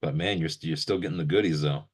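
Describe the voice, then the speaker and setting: Deep-voiced man hosting a casual interview. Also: fast talker. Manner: informal, agreeable, often repeats short affirmations. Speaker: interviewer and video host.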